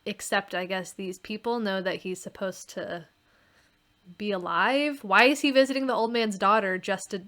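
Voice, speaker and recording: A woman speaking as a podcast co-host in a relaxed, informal conversation. Her voice sits at an average pitch for a woman, and she talks in an everyday conversational style. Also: moderate pace. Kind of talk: casual chat about a radio play.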